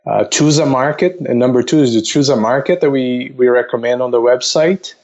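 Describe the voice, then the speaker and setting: A man of medium pitch is heard through slightly muffled, lo-fi audio. He explains things casually as a podcast guest, methodical and polite, with a halting flow. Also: darkish tone. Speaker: investment counselor, podcast guest.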